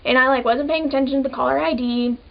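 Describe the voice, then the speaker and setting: A young woman of twenty with a high voice, talking to camera in a relaxed, chatty way and leaning on "like" between thoughts. The audio sounds a little dull and muffled. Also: fast, vocal fry. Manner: casual, chatty, many "like" fillers. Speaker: vlogger. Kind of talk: personal storytelling to camera.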